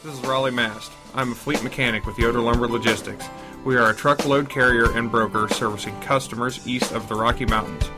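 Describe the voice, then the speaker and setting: A man with a medium-pitched voice reads a scripted radio ad as a company employee. Clear guitar music plays behind him. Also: steady tone, brisk. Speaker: employee spokesman, fleet mechanic.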